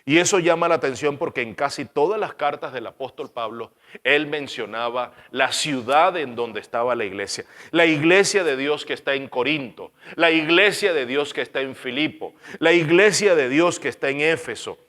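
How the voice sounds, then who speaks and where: A Venezuelan man with a Caracas accent preaches in a slightly higher voice, earnest and insistent. He repeats phrases for weight and lets line endings sink quiet. A long hall reverb trails each word. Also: normal speed. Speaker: pastor. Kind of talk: sermon.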